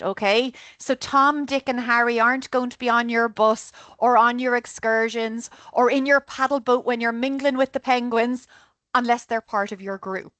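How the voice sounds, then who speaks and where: A woman's voice: on the high side, a bit bright, no room sound. Chatty Irish woman with a dry, close sound. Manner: chatty, keeps adding "okay?". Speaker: tour operator director of sales. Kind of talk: online group presentation.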